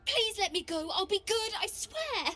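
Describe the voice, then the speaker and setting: A woman playing a timid little tiefling girl, halting and pleading, in a video game. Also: extremely high pitch, sing-song, bright, forceful tone, soft background music, full character voice. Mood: scared, pleading.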